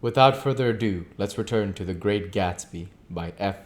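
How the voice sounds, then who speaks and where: A man reading a classic novel aloud as an amateur audiobook reader, with deliberate, emphatic, theatrical delivery. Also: on the low side, a little hiss or hum.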